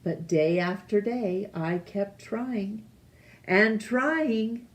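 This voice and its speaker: A woman reads a children's picture book aloud at a very slow pace. She is deliberate and leaves pauses between phrases, with a mid-range pitch. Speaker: storytime reader.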